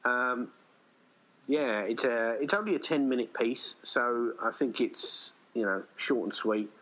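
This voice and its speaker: A man's voice: mid-range voice, unhurried, fairly bright tone, rough recording. A middle-aged man chatting casually as an interviewed writer-director, rambling and anecdotal. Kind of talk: casual chat.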